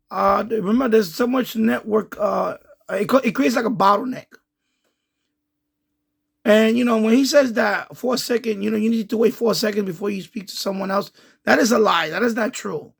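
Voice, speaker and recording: Male hobby-radio host, high and husky voice, casual explanatory commentary that circles back and repeats itself. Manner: rambling, repeats himself, explanatory. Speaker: amateur radio video host. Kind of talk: casual running commentary over radio chatter.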